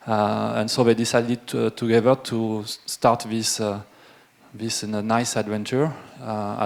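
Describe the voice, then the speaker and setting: A middle-aged French man with a French accent, presenting at a wine seminar in a halting way, with many fillers and restarts. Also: fairly low pitch, creaky, darkish tone. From France. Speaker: winemaker. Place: event room with a live audience.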